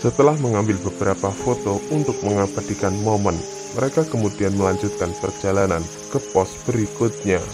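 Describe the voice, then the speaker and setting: A man's voice: fairly low pitch, brisk, over music. A man narrating a scripted story for a horror animation.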